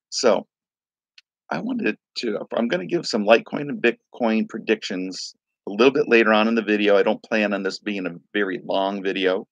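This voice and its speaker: Raspy man, gravelly low voice, casual livestream host, rambling, dry slightly dull sound. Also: no room sound. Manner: rambling, loose, greets viewers by name. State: raspy voice from singing. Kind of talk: casual live-stream chat.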